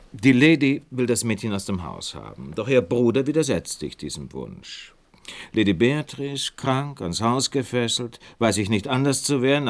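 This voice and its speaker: A man acting in a German radio play as a calm, shrewd gentleman detective who probes in a measured, analytical way. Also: on the low side.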